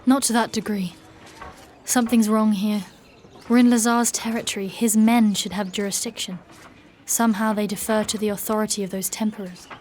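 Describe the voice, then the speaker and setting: Actress playing stern warrior mentor, woman, mid-pitched, solemn, measured, formal, guarded, dark fantasy audio drama. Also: steady tone, slight background noise, natural voice. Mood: guarded, serious.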